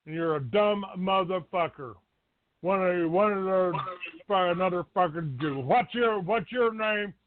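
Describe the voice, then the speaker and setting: A man's voice: high-pitched, measured pace. A man hosting a live call-in show in an abrasive, combative, foul-mouthed way. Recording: tinny phone-grade dry audio.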